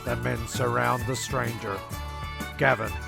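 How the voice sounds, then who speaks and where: A man narrating and acting as a fussy, deadpan castaway in a comedic radio play, with a creaky voice. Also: mid-range voice, a little slow, over music. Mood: wry narrating.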